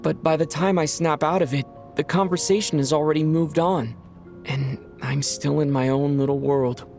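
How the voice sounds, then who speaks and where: An actor playing a reflective young male traveler in a video game, speaking quietly and introspectively. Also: a little high, normal speed, vocal fry, faint music behind. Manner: quiet, introspective, even-toned.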